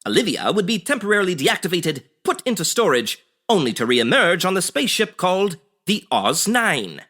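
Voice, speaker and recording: A man's voice: high-pitched, sing-song, normal speed, sharp tone, slight background noise, heavily acted. A man playing a dramatic, urgent narrator in the manner of a 1940s radio serial. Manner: theatrical, urgent announcer delivery. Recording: music under the narration.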